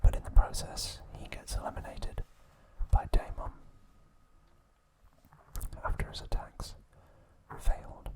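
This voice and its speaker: Slow whispering man, ASMR narration. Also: poor audio. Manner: soft unvoiced whisper with frequent "uh" pauses. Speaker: ASMR channel host.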